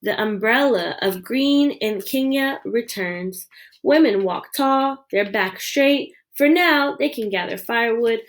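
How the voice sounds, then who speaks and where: A woman reading a children's picture book aloud with expressive storytelling delivery. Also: on the high side, takes it slowly. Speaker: read-aloud reader.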